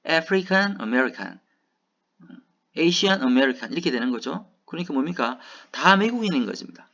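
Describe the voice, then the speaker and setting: A man's voice: a little high, fairly bright tone. A middle-aged man from South Gyeongsang with a Gyeongsang Korean accent, a professor lecturing who keeps asking rhetorical questions and answering them himself. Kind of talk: recorded lecture. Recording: dull, lo-fi lecture recording.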